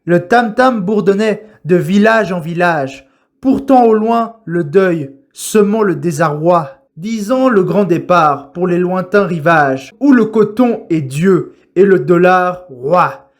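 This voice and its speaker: Slow, high-pitched man reciting solemn poetry. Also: expressive. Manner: declamatory, measured phrasing with deliberate pauses. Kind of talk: solemn dramatic poem recitation.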